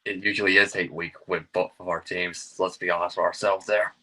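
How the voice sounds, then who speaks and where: A man with a low, rough-edged voice gives casual answers as a football fan guest. His tone is forceful and bright, and he keeps things short and matter-of-fact. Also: flat delivery, fairly quick. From Alabama, United States. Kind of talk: casual sports interview answers.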